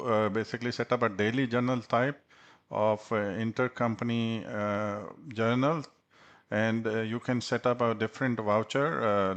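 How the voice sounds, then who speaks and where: Male instructor, lowish voice, step-by-step tutorial presentation, hesitant delivery with many pauses. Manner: halting, frequent long hesitations. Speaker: software instructor. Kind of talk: tutorial presentation over slides.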